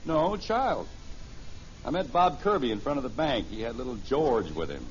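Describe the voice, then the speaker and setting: A man's voice: mid-range voice, big pitch swings, moderate pace, natural voice. An actor playing a genial, middle-aged suburban father with dry, teasing humor in a 1950s radio sitcom. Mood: wry. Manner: dry, gently wry humor. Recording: muffled, noisy vintage radio recording.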